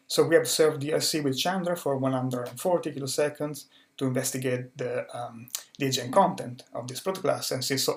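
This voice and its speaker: Young guy presenting his research, careful, lots of restarts and repeated words, middle pitch. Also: gravelly. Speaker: conference speaker, astrophysics researcher. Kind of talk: recorded conference talk.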